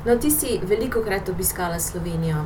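A woman's voice: medium pitch, expressive, fast talker. A woman interviewer asking long, winding questions with frequent "eh" fillers. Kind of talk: radio interview. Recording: distant mic with background noise.

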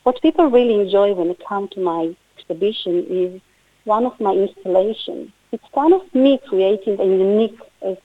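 A middle-aged woman from Israel with an Israeli accent, a native Hebrew speaker answering radio interview questions in halting English with restarts. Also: medium pitch, dry recording. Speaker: guest artist. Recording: tinny phone line.

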